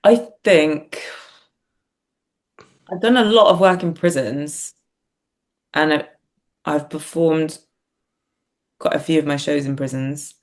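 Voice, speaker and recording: A woman's voice: low-pitched, slow, pauses often, darkish tone. A woman reflecting as an interview guest, speaking hesitantly and often searching for words. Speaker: podcast guest, theatre writer and performer.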